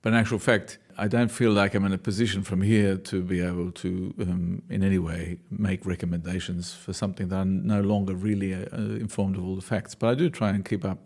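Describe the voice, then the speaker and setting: Low-voiced thoughtful man from Australia. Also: flat delivery, a little fast, vocal fry, few pauses, a little mellow. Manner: thoughtful, hesitant, restarts sentences. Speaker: dancer and choreographer, class leader. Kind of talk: reflective interview answers.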